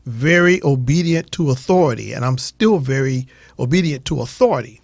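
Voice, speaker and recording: A man talking reflectively on a radio show, explaining his points in a measured way. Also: mid-range voice, expressive, creaky, no room sound, clean studio sound.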